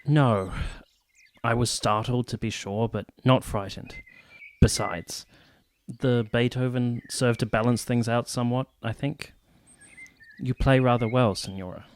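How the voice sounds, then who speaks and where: An actor playing an earnest, idealistic and courteous army captain in a period radio drama, measured and formal. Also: male voice, medium pitch, steady tone, a little hiss or hum, close to an everyday voice. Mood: polite, awkward.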